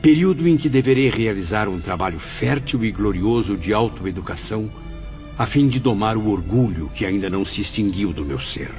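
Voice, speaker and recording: A man's voice: lowish voice, animated, brisk, darkish tone, dull audio, faint music behind, close to an everyday voice. An actor playing a humble, fearful male spirit in an audio drama, speaking in a solemn, formal, heartfelt way. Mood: resolute, troubled.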